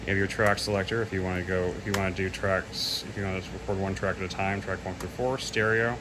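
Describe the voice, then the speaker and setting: Low-voiced man, noisy casual demo. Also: level tone, quick pace. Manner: hesitant, loose, admits gaps in knowledge. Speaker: presenter demonstrating audio equipment. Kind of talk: casual unscripted product demo. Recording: clearly noisy home recording.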